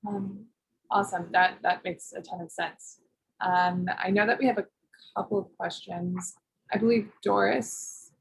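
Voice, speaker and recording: A woman moderator with a husky, slightly gravelly voice runs a webinar Q&A at a middling pitch. She keeps her turns short and friendly while reading out questions over a video call. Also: fairly even intonation, measured pace, low-quality recording. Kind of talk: hosting a webinar Q&A.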